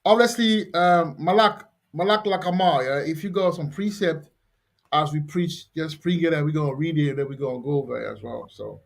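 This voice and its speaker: A man with a fairly high speaking voice leads an online scripture lesson. He rambles loosely, repeating himself and leaning on fillers as he goes. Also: normal speed, vocal fry. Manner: loose, repetitive, with many fillers. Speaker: host teaching scripture. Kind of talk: casual religious teaching over video call.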